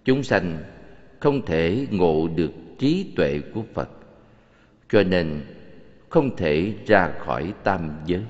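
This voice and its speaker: A man voicing a serene, authoritative Buddha in an animated scripture film, teaching in a measured, solemn delivery. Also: deep voice. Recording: muffled, long cavernous reverb.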